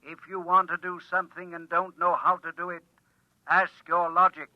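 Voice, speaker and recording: A man's voice: sits high, flat delivery, normal speed, no echo. A man playing a talking advice machine in an old radio drama, precise and impersonal like an announcer, through a small speaker. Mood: impersonal, matter-of-fact. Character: talking machine coolly dispensing deadly advice. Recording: dry, muffled old radio recording.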